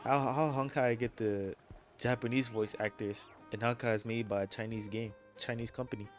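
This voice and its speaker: A man's voice: mid-range voice, steady tone, moderate pace. Rambling young gamer guy. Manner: rambling, opinionated, slangy. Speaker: gaming streamer. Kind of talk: casual gameplay commentary. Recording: game music behind.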